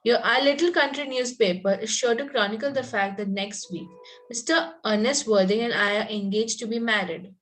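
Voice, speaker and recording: A spirited young country girl in a Victorian comedy, acted by a woman at a table read. The voice is on the high side. She is sweetly polite with a pointed edge, and she stumbles a little as she reads. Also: normal speed, fairly bright tone, natural voice, rough recording. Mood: sweetly confiding. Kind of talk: amateur reading of a Victorian comedy play.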